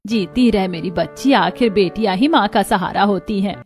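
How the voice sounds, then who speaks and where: A woman's voice: medium pitch, moderate pace, darkish tone, soft background music, natural voice. A woman playing a poor, earnest mother who works as a housemaid, speaking respectfully and pleadingly in a moral story. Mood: relieved, hopeful. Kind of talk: dramatized Hindi moral story acting.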